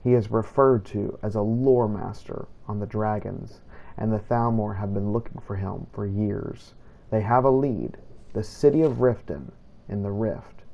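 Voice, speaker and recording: A man giving scripted first-person roleplay narration of a game in a measured, formal way. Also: on the low side, fairly even intonation, vocal fry, mellow, lo-fi sound. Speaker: gameplay narrator playing a vampire hunter in character.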